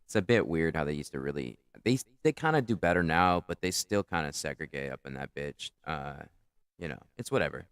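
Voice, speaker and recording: Man, very low voice, smooth and resonant, dry close sound, rambling profane commentary. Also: expressive, fairly quick, dry recording. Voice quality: powerful, carrying voice. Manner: rambling, profane, self-critical. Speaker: comedian reviewing his own set. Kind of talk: casual commentary.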